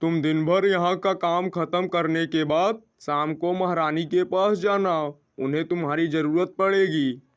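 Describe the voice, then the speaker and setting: A man's voice: fairly high pitch, steady tone, unhurried, hardly any pauses, clear, resonant tone, lo-fi sound, close to an everyday voice. A man playing a composed, formal bird king in a children's cartoon story. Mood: calm. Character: male bird king giving calm orders.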